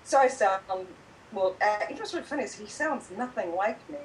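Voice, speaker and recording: A woman's voice: medium pitch, lively intonation, fairly quick, a little hiss or hum, rough recording. A tired woman chatting earnestly over a video call, hesitant and often repeating her words. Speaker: guest, host's sister. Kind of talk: casual chat.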